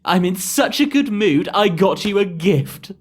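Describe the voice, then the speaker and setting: A woman's voice: mid-range voice, lively intonation, a little slow, slightly rough. A woman playing a haughty, scheming, gold-digging stepsister in an audio pantomime, mock-sweet and theatrical. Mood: smug gloating.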